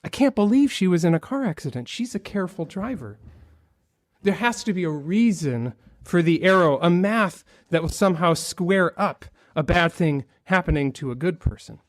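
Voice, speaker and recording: A man's voice: sits high, lively intonation, normal speed, no echo. Male minister, conversational sermon, light wry humor, dry pickup. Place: church sanctuary.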